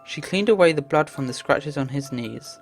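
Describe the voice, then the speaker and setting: Woman, low voice, story narrator, read-aloud visual novel, music behind. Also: lively intonation, moderate pace.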